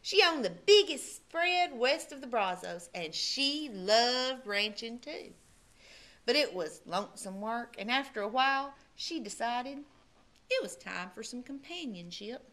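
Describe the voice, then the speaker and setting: A woman's voice: fairly high pitch, unhurried. A woman librarian reading a folksy Western picture book aloud to children. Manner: animated, folksy storytelling. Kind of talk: storybook read-aloud.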